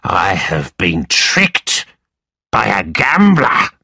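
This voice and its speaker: A man voicing a scornful, sly, angry moneylender in a storybook, with a sneering, emphatic, drawn-out delivery. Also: low voice, wildly swooping pitch, sharp tone, husky, dry recording, studio quality, exaggerated voice.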